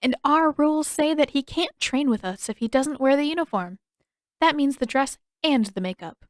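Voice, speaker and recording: A woman's voice: sits high, animated, moderate pace, no room sound, pitched above the performer's own voice. A stern teenage warrior girl voiced by a woman narrator in an audio story. She is firm and terse with a fierce streak, recorded very dry. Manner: firm, terse, rule-bound.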